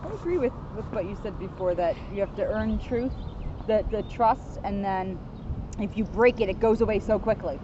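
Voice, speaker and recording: A woman's voice: mid-range voice, normal speed. A woman chatting casually and instructively to children as a co-host, speaking outdoors. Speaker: police officer co-hosting a children's reading. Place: outdoors, sitting outside. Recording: muffled outdoor recording with clear traffic noise.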